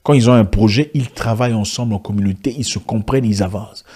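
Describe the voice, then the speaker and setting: A man's voice: on the low side, fast talker, warm tone, breathy edge. A man whose first language is an African language, giving an impassioned monologue to camera with rhetorical questions and frequent restarts. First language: not French; an African language. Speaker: online video commentator.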